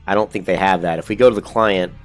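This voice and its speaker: A man's voice: sits low, fairly even intonation, fast, soft background music. A man with a cold and a congested voice, hosting a casual gaming video and reading features off a website in a rambling, self-correcting, upbeat way.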